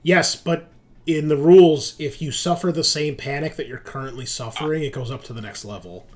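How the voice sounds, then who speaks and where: A man's voice: a little high, brisk, a little mellow. A man running a tabletop roleplaying game as game master, explaining rules and procedure step by step. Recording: clearly noisy background.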